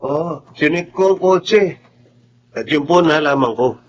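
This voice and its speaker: A man acting in a Filipino radio drama as an earnest, loyal young driver who speaks respectfully and gently. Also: a little high, a little slow, slight background noise, lightly acted, poor audio. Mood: nervous, respectful. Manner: deferential, gentle, addressing superiors respectfully.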